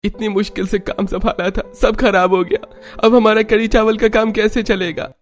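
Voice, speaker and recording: An earnest, supportive young husband from a cartoon, voiced by a man. His pitch is high for a male, with music playing beneath. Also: flat delivery, moderate pace, close to an everyday voice. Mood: troubled.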